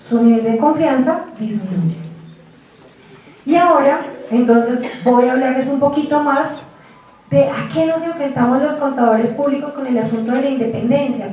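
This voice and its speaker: Woman lecturer, high mellow voice, rhetorical questions, echoey hall, distant mic. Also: a little hiss or hum. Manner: lively, chatty, asks the class rhetorical questions. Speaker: university lecturer. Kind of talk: classroom lecture. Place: lecture room.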